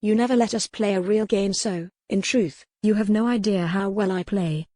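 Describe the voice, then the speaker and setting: A woman voicing a proud, stubborn young medieval noblewoman with a measured, dogged defiance in an audiobook. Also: mid-range voice, dark tone, no room sound, clean studio sound, close to an everyday voice. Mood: defiant.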